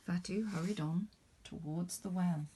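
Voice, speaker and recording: A woman reading a picture book aloud to children in an expressive, animated way, putting questions to young listeners. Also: lowish voice, measured pace, slightly rough, low-quality recording. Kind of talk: expressive storybook read-aloud.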